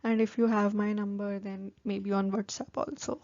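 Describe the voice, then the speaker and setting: Young Indian woman, Hindi native, informal and full of fillers; flat tone, dull lo-fi recording. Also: medium pitch, normal speed, no echo. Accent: Indian English. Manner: chatty, many "like" fillers, informal. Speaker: vlogger giving career advice. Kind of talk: casual advice talk to camera.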